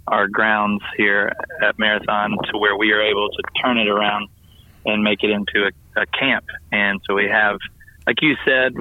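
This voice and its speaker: A slightly hoarse man calls in over a tinny, narrow phone line. He is a pastor reporting on his week with upbeat enthusiasm. He rambles warmly through a lot of "um"s, and the sound is dry and close. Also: fairly low pitch, no room sound. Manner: upbeat, enthusiastic storytelling with fillers. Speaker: family ministries pastor. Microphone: phone call.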